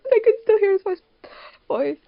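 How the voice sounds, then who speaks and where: A woman's voice: very high voice, gravelly, phone-quality sound. A giggly, rambling woman hosting a casual group podcast. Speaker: podcast host, fandub production crew member.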